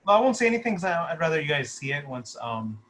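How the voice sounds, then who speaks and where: A man's voice: on the high side, sing-song, fast talker, over a noisy crowd. A man talking casually and explaining things as a writer and producer on a video call. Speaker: writer and producer of a short film.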